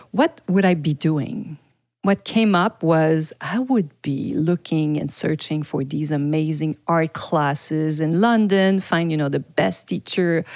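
A woman's voice: fairly low pitch, a little mellow, dry recording, clean studio sound. A middle-aged woman with a French accent, hosting a podcast in a chatty way, often laughing and restarting her sentences. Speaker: podcast host. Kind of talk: casual solo podcast talk.

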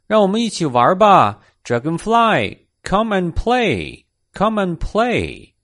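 A man's voice: high-pitched, wildly swooping pitch, slow, fairly bright tone, voice pushed up, exaggerated voice. A man voicing a playful, impatient little kitten in a children's storybook, pleading and repeating simple phrases.

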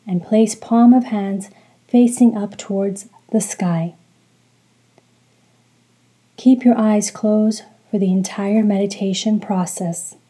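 A woman leading a guided meditation in a soft, breathy voice, calm and deliberate, speaking in short soothing phrases. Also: medium pitch. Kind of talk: slow guided meditation with religious recitation.

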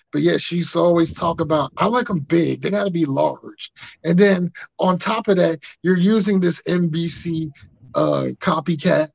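A man in his thirties with a raspy voice, hosting a podcast and telling stories in casual chat, acting out quotes. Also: a little high, dry recording. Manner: animated, acts out quotes in funny voices. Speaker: podcast host. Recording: dry muffled lo-fi sound.

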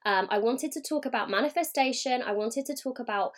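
A woman hosting her own podcast, talking in a chatty, upbeat way with a hoarse, croaky voice from a sore throat. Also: on the high side, brisk. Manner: chatty and upbeat, with frequent fillers. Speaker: podcast host and mindset coach. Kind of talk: casual solo podcast monologue.

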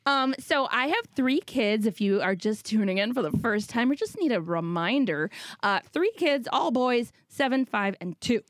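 A woman in her thirties co-hosting a podcast, chatting in an upbeat way and quick to laugh. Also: fairly high pitch, lively intonation, no room sound. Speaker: podcast co-host. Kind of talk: casual chat.

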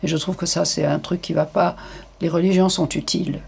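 An older woman giving frank, hesitant interview answers, with many pauses and fillers. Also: fairly low pitch, fairly even intonation, vocal fry, darkish tone, husky.

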